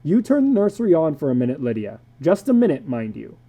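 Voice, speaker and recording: A stern husband and father in a dramatic story, acted out by the narrator. He is exasperated and commanding, hitting words hard. His pitch moves widely over a soft, unsharp tone. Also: big pitch swings, fast, breathy edge. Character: fed-up, stern husband and father, adult man.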